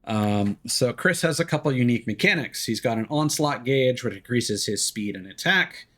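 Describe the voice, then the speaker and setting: A man giving casual live game commentary, rambling and thinking aloud with hesitant pauses. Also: medium pitch, expressive, fairly bright tone. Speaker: game streamer. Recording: game music behind the voice. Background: game music.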